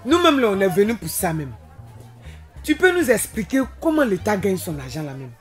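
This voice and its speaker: A performer playing an inquisitive market trader with a colloquial, expressive way of talking in a cartoon. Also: medium pitch, normal speed, faint music behind, natural voice. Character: inquisitive market trader, plain-spoken and eager. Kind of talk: animated educational film dialogue.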